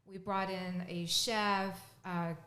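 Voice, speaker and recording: A middle-aged woman whose first language is Chinese, answering as a podcast guest in short, relaxed replies. She sits close to a studio mic on a boom arm in a home studio, with a mid-range voice. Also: slow pace. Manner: brief, relaxed, laughing answers. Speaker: guest, property management business owner. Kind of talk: casual interview answers. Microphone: studio mic on boom arm, headphones.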